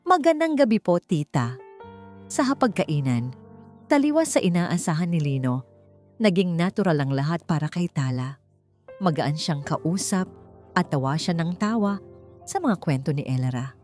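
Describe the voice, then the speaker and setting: A woman's voice: deep voice, animated, moderate pace, darkish tone. A woman with a Filipino accent reading a scripted romance story in a dramatic narrating style. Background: background music.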